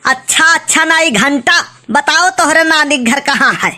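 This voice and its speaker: A woman's voice: sits high, measured pace, bright, forceful tone. A woman voicing a suspicious, sarcastic cartoon moneylender who insistently nags, with an Awadhi accent and comic exaggeration. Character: suspicious, nagging village moneylender demanding repayment.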